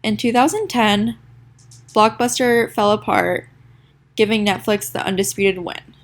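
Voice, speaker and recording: A young woman presents a school project in a congested, nasal voice, with a gravelly fry running under her words. She reads from a prepared script, tripping over a word here and there and starting again. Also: medium pitch, unhurried. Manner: reads a prepared script with small stumbles and restarts. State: sick, congested. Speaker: student presenter. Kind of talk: reading a class presentation.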